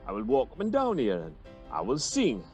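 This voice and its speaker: A foolish, cocky rustic played by an actor blusters and chuckles through comic Shakespearean radio drama. The voice is mellow and very animated, swinging up and down, with music behind. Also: medium pitch, normal speed. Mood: blustering bravado. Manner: blustering, chuckling, wildly swooping delivery. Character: cocky, foolish rustic man with a donkey's head.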